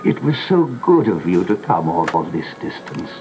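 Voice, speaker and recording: An old cassette recording of a gothic radio play with music underneath. an ailing master of a gloomy mansion, anxious and overwrought. His very deep voice swings dramatically through formal, theatrical phrases. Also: big pitch swings, moderate pace, darkish tone, low-quality recording. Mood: weary welcome. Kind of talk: gothic audio drama acting.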